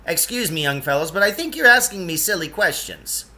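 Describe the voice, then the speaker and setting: A man's voice: high voice, lively intonation, a little fast, pushed and bright, pitched above the performer's own voice, full character voice. A man voicing an eccentric, brusque and impatient grown man in a children's novel, speaking emphatically and dismissively. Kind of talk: children's novel read-aloud.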